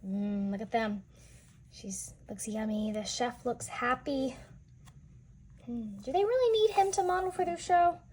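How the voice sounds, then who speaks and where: A woman's voice: on the high side, measured pace, a little mellow, breathy edge. A woman reading a picture book aloud to young children, explaining and asking questions as she goes. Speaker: children's read-aloud storyteller.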